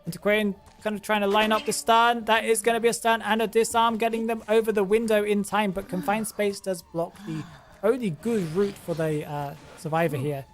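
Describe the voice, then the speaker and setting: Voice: medium pitch, fairly even intonation, brisk, soft background music. A host giving casual, chatty commentary on gameplay, thinking aloud and often trailing off to restart a sentence. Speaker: game commentator and channel host.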